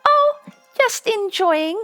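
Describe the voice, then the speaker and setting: A woman voicing an eager little girl in an audiobook, plaintive and quick to turn indignant. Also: extremely high pitch, very animated, pushed and bright, soft background music, voice pushed up, exaggerated voice. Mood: contented. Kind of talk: audiobook character voice.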